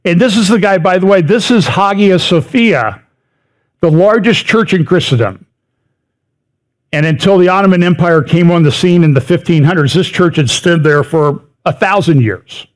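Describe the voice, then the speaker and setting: Middle-aged guy walking through slides, kinda high voice, chatty, wry jokes on the side. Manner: conversational, wry asides, repeats words for stress. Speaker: speaker giving a prophecy update talk. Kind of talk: lecture with slides.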